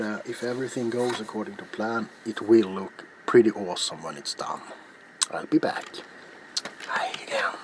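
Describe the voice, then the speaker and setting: A middle-aged man with a lowish voice talks very slowly and softly, as an ASMR crafting host. He is hushed and often drops into a whisper. The recording has very loud background noise. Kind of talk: soft-spoken ASMR craft narration. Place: small cluttered indoor workshop.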